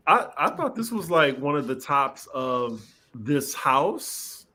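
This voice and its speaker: A man in his thirties on a chat panel, reacting with animated, gushing opinions. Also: on the high side, slow pace. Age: 30s.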